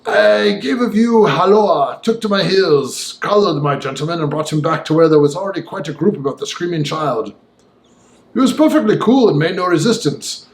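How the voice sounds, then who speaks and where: A man reading as a gentleman who recounts a disturbing tale in vivid, old-fashioned, emphatic speech. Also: on the high side, echoey room, lightly acted, rough recording. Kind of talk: audiobook dialogue monologue.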